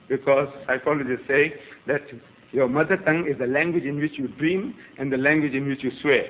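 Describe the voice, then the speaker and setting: An emphatic man whose first language is Gujarati lectures a live audience, hammering his points with repeated rhetorical questions. His voice sits high and jumps from loud peaks to quiet asides. The recording is thin and tinny, like a phone line. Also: a little hiss or hum. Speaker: public debater.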